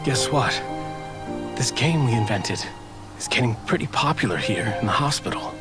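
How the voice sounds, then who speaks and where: An actor playing a gentle, frail, dying scholar in a video game, weak and breathy. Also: male voice, mid-range voice, lively intonation, moderate pace, lightly acted. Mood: gentle, resigned. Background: loud emotional music.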